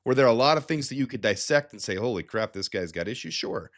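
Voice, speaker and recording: A man with a fairly low voice and a slight nasal stuffiness from a head cold breaks down team stats for his channel. He talks in an energetic, chatty way, doubling back on himself and throwing in asides as he goes. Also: fast talker. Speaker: sports channel host. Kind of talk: casual stats analysis.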